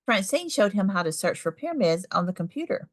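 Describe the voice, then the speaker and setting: A woman's voice: mid-range voice, very animated, brisk, no echo, clean studio sound. A woman narrating a children's picture book aloud as a storyteller.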